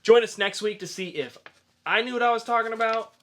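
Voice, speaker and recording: A man's voice: very high-pitched, brisk, sharp tone. A man co-hosting a podcast in a casual, chatty style. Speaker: podcast co-host. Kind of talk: casual chat with a quiz segment.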